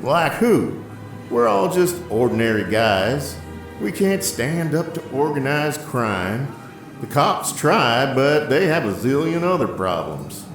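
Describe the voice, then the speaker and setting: A man's voice: mid-range voice, very animated, takes it slowly. An actor playing a kindly, world-weary older diner owner in an audio drama, fatherly and plainspoken. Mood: resigned. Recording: echoey, with loud music underneath. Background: music.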